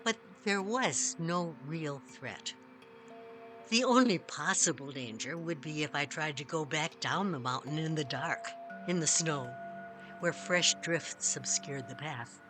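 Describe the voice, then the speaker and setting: A woman reading a first-person story as scripted narration, measured, with dramatic pauses. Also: low voice, a bit bright. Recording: music behind the voice. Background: music.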